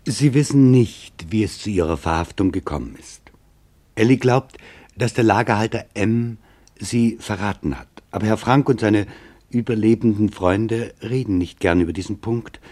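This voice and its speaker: An actor playing a sober reporter who formally narrates a documentary radio play about wartime events. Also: male voice, fairly low pitch, moderate pace, dark tone, lightly acted. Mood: sober, grave.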